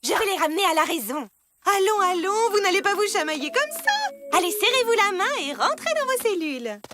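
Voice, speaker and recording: A woman's voice: very high-pitched, big pitch swings, a little fast, pushed and bright, exaggerated voice. A woman voicing a fussy, nervous cartoon prison official in a placating manner. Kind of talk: exaggerated cartoon dub acting.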